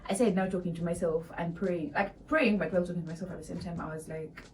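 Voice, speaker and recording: A young woman in her twenties with a Ugandan accent, telling a casual storytime to viewers, animated and laughing often. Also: lowish voice, fast, far from the mic, a little hiss or hum. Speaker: vlogger. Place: small room indoors.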